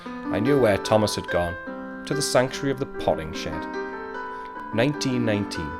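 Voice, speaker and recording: A man's voice: fairly low pitch, expressive, moderate pace, over loud music, close to an everyday voice. A man playing a wistful, reflective narrator of an audio play, who watches those he loves. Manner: reflective storytelling.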